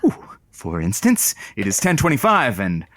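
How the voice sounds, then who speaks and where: A man's voice: lowish voice, wildly swooping pitch, moderate pace, fairly bright tone, no room sound. A man playing a loyal, cheeky, easygoing radio producer in an audio comedy, with playful, droll delivery. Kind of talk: audio comedy drama dialogue.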